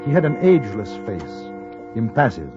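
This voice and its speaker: An actor playing a polite English newspaperman who narrates a 1950s radio western in a courteous, measured, well-spoken English accent. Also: fairly low pitch, sing-song. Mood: measured narration. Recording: muffled 1950s radio recording with music.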